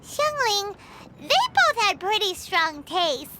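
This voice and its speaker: A woman voicing a tiny, excitable fairy companion in a video game, with a cute, squeaky, childlike voice and an exclamatory manner. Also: takes it slowly, heavy background noise, heavily acted. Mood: curious, puzzled. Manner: speaks of self in third person, exclamatory. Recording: game audio with loud music behind.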